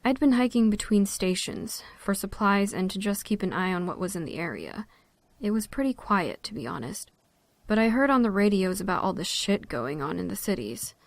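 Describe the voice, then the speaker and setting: A woman's voice: medium pitch, expressive, moderate pace, no room sound, lightly acted. A woman playing a wry, self-reliant park ranger in an audio drama, talking bluntly and casually.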